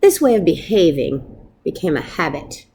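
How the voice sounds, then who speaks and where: A woman reading a picture book aloud as a storyteller, with expressive narration meant for children. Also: low-pitched, moderate pace, gravelly, slight background noise.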